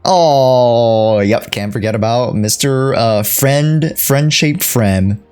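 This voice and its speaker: A man's voice: medium pitch, slow, darkish tone, clear, resonant tone, soft background music. A man giving casual, slangy reaction commentary as a game streamer, often murmuring agreement.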